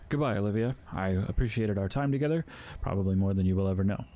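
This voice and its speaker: An actor playing a solemn, anxious guardian spirit of fear, speaking formally and haltingly in an audio drama. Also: male voice, lowish voice, dark tone, lightly acted. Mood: mournful, apologetic. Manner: formal, halting, stilted wording. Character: Fear, solemn, anxious male emotion spirit, self-sacrificing protector.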